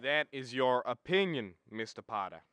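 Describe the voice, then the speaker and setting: A young man acting in a radio drama as a brisk, formal board chairman. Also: mid-range voice, animated, over a noisy crowd, lightly acted. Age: young adult. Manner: formal, orderly.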